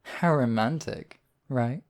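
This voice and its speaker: A man's voice: medium pitch, takes it slowly, darkish tone. A man playing a smug, obsessive demon king in a theatrical audio roleplay, silky and possessive in his wooing. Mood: wistful, wooing. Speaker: voice actor. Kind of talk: theatrical roleplay monologue, ASMR audio drama.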